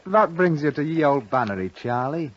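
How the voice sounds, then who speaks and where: An actor playing a shrewd, blunt baker who drives a bargain in a dry, matter-of-fact way, in old-time radio drama style. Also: male voice, a little high, lively intonation, normal speed, slight background noise, natural voice. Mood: wry, bargaining. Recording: muffled vintage radio recording.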